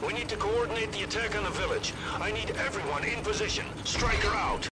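An actor as a high-pitched, ruthless squad commander. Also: male voice, fairly quick, very noisy, natural voice. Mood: stern, commanding. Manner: clipped military orders. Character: commanding, ruthless male special forces leader. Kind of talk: video game acting. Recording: loud music over the voice.

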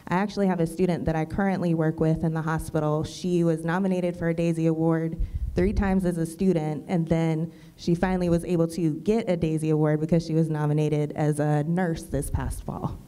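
A woman on a college panel stage talks about her nursing students in a measured way with many ums. Her voice is low and flat. It sounds mellow and smooth. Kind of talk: panel discussion talk.

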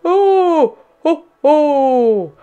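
A woman's voice: medium pitch, lively intonation, slow pace, dark tone, dull audio, pitched above the performer's own voice. Lady doing jolly old santa guy from a kids storybook, hearty n exclaiming, voice swings around. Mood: surprised. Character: jolly old male Santa Claus, chuckling and booming.

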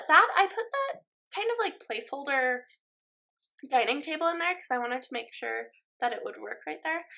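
A woman's voice: high-pitched, creaky, sharp tone. A young woman with a stuffy nose, chatting casually over her game footage in a rambling way, full of ums and likes. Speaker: Sims speed-build YouTuber.

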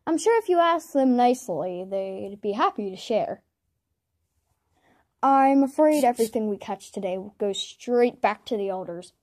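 A woman's voice: fairly high pitch, lively intonation, a little slow, darkish tone, voice pushed down. A woman voicing a male warrior cat in an amateur cartoon audition. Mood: earnest. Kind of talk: amateur voice audition line reading.

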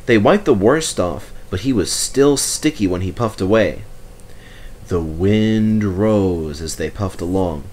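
A man's voice: deep voice, takes it slowly, a little mellow, a little hiss or hum. A man reading a scripted children's story aloud as narrator. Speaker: storybook reader.

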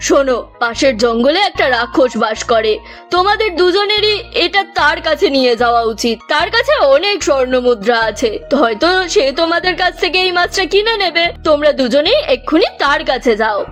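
A brusque cartoon sorcerer, played by a woman, talks dismissively and hands out instructions. The voice is high and animated, with music behind it. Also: brisk. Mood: advising. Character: brusque male sorcerer dismissing his visitors.

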